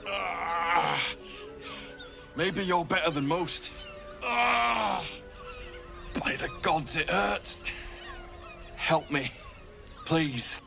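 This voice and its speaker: A gravelly, dying man in agony. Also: fairly high pitch, drawn-out words, long pauses, full character voice. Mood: agonized. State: in pain, gasping. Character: dying, wounded man begging for death. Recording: game audio with music underneath.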